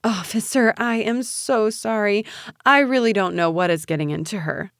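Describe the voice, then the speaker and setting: Woman as anxious flustered aunt, urgent, pleading, raised pitch, dry sound, audiobook figure. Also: fairly quick, darkish tone, dry recording, close to an everyday voice. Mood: apologetic pleading. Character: anxious, flustered aunt minding a wayward niece.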